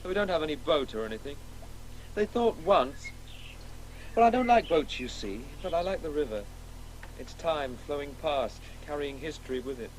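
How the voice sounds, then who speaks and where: Male actor as a precocious, rather high-voiced boy. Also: animated, moderate pace, a little hiss or hum, natural voice, low-quality recording. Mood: earnest, chatty. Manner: articulate, insistent, eager. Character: precocious schoolboy in wheelchair, earnest, confident, chatty. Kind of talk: naturalistic radio play dialogue.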